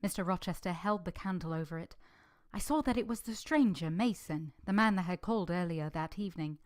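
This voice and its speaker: Casting: a woman playing devoted young servant heroine, slightly low voice, earnest and composed, close dry sound, vintage radio drama. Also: expressive, no room sound, lightly acted. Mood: solemn narrating. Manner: earnest, deferential, measured. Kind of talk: old-time radio drama acting and narration.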